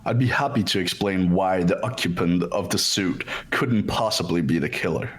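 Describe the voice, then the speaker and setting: Low, measured, analytical young man acted by a man. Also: natural voice. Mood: cool, explanatory. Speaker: amateur voice actor in a group playthrough. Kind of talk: fan dub of a visual novel game.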